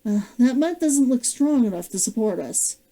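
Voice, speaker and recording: A woman reading the lines of a practical young male adventurer in a calm, steady way. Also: fairly high pitch, moderate pace.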